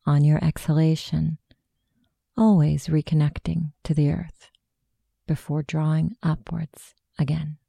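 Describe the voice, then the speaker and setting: A woman narrating a guided meditation in a slow, hushed, soothing way of instructing. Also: low voice, gravelly, no echo.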